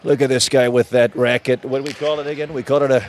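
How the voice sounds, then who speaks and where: Middle-aged man, Chicago comic, mid voice, deadpan wisecracks, outdoor venue noise. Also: medium pitch. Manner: wisecracking, quick asides, deadpan self-mockery. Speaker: guest comedian. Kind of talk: joking banter in the booth.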